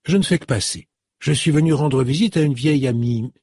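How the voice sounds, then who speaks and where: A man's voice: fairly high pitch, darkish tone, slightly rough, no echo, studio quality. A man voicing a polite, composed middle-aged woman in an audiobook, in a slightly raised voice. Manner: courteous, measured.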